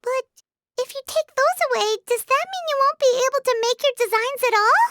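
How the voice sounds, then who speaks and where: A woman's voice: animated, a little fast, noisy background. An actress voicing a tiny, childlike female fairy companion in a video game, naive, loyal and earnest. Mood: worried. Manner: squeaky, refers to herself in third person.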